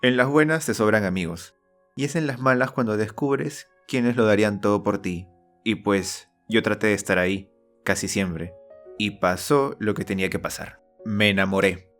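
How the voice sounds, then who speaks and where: Low-voiced young man narrating earnestly. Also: moderate pace, fairly bright tone. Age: young adult. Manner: earnest, confessional. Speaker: storyteller and channel host. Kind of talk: scripted autobiographical narration with acted dialogue. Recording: voice over background music.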